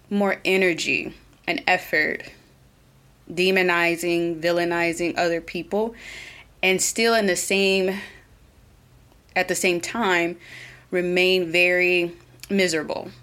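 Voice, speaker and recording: A woman with an Oklahoma twang hosting her own solo podcast, talking earnestly and reflectively, pausing and restarting as she thinks aloud. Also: medium pitch, a bit bright. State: a little nervous. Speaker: podcast host.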